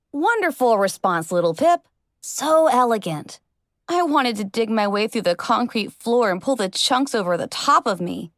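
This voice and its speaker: High, animated woman narrating a story. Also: no echo, studio quality. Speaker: audiobook narrator. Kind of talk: expressive first-person story narration.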